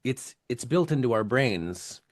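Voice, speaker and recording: A man's voice: mid-range voice, lively intonation, a little slow, no room sound, clean studio sound. Reflective man hosting a podcast. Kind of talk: casual conversational discussion.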